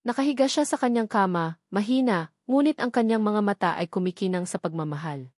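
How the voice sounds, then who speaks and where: A woman narrating a scripted sentimental story in an earnest, measured way. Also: medium pitch, expressive, darkish tone, no echo, studio quality.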